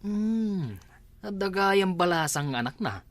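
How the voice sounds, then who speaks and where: A man's voice: high voice, expressive, slow pace, no echo. A man with an Ilocano accent, playing a nosy neighbor in a comedy drama, chuckling as he talks.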